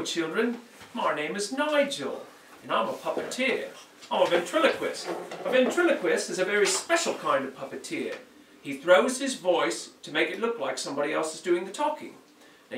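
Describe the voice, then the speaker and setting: A man's voice: high-pitched, a bit bright, full character voice. A man voicing a chatty ventriloquist puppet who tells stories to children in an exaggerated, singsong puppet-show delivery. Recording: roomy, distant mic, faint noise.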